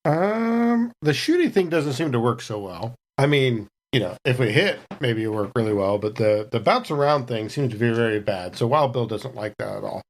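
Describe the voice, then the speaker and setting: A man's voice: mid-range voice, animated, normal speed, few pauses. A man playing a tabletop game, casually describing his character's moves in a folksy, rambling way as he thinks aloud.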